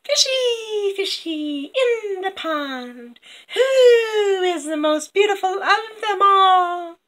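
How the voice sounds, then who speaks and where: A vain, wicked storybook queen. Her voice is high and piercingly bright, swooping widely as she draws out her words with scheming, theatrical relish. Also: animated, heavily acted. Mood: sing-song vanity. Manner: drawn-out, melodramatic, wailing delivery.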